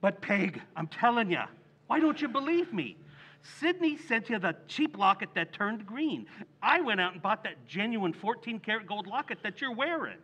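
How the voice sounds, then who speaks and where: Bumbling schemer husband from old radio sitcom, super animated, high pitched, blusters a lot. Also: male voice, moderate pace, exaggerated voice. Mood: indignant, pleading. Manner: blustery, exclamatory, folksy grammar. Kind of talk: old-time radio comedy acting.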